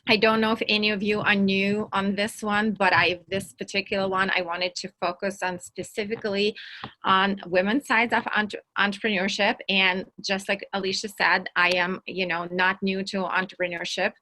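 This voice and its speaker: A woman from Russia with a Russian accent, giving an energetic online workshop talk and often repeating words for emphasis. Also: medium pitch, moderate pace. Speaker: workshop speaker. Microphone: video call.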